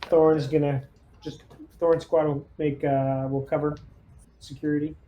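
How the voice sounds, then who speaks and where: A man's voice: a little high, flat delivery, unhurried, lo-fi sound, slight background noise. A man playing a tabletop roleplaying game, giving orders in an easygoing, casual chat style.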